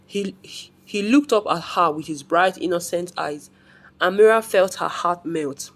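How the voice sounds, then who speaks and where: A woman's voice: lowish voice, unhurried. A woman reading a scripted folktale as a storyteller.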